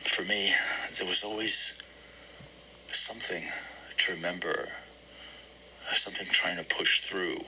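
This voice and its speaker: Man acting a low, halting, brooding therapy patient. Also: fairly even intonation, pushed and bright, slight background noise, exaggerated voice, rough recording. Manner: hesitant, searching, repeats phrases. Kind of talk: dramatic one-act play monologue.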